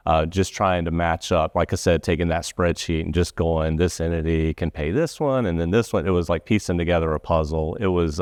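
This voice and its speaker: Low-voiced man, informal and halting. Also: darkish tone, smooth, no echo, clean studio sound. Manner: halting, rephrases as he goes. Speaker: corporate treasury professional, guest speaker. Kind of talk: informal first-hand account.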